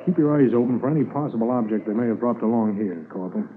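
A male actor portrays a polite but persistent Mountie sergeant in 1950s radio acting style. His medium-pitched voice is formal and businesslike. It comes through a thin, tinny old recording with light noise. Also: fast, natural voice. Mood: urgent, alert.

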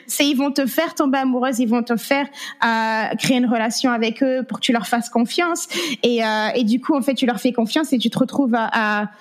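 Woman, 30s, interview guest; candid, halting testimony, high-leaning voice, English words slip in. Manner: hesitant, many fillers, slips in English words. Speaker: guest sharing her story.

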